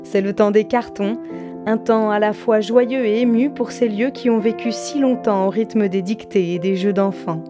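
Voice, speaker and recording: A woman's voice: medium pitch, steady tone, moderate pace. A woman reading scripted documentary narration. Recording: music behind the voice. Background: music.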